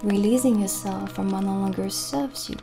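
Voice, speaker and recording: A young woman playing a gentle, reassuring witch shopkeeper in a soft-spoken, soothing, unhurried ASMR roleplay. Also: medium pitch, vocal fry, lightly acted. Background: soft music.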